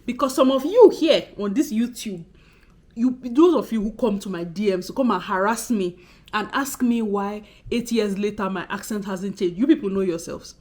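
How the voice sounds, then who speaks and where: A woman in her thirties from Nigeria, with a Nigerian accent that carries a Benin undertone, talking expressively and fast in a casual vlog to camera. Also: medium pitch, darkish tone. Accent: Nigerian, Benin City undertone. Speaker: YouTuber.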